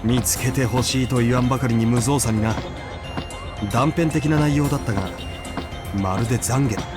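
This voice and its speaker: An actor playing a brisk, officious and irritable male aide in a video game, giving clipped, deadpan orders and dry grumbles. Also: medium pitch, over music, close to an everyday voice. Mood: pondering. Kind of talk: Japanese video game voice acting.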